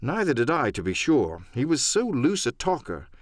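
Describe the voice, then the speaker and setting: A man's voice: mid-range voice, huge pitch swings, normal speed, dry recording, studio quality. A man reading scripted adventure-story narration as an audiobook narrator.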